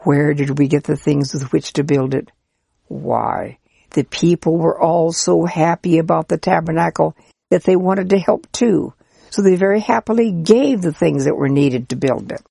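A reader playing a kindly old priest, husky and gravelly. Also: mid-range voice, normal speed, no echo, studio quality. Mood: kindly explaining. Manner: gentle, explaining, earnest. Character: kind, patient old male Israelite priest. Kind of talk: storybook character reading.

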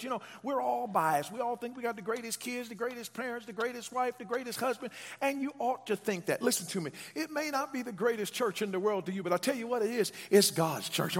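A male American pastor delivers an emphatic sermon in a high, husky voice. He builds on repeated phrases and calls on listeners to answer him, with long reverberation from a large hall around his words. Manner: emphatic, repetitive, call-and-response preaching. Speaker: pastor preaching to a congregation. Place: large reverberant room, live preaching to an audience.

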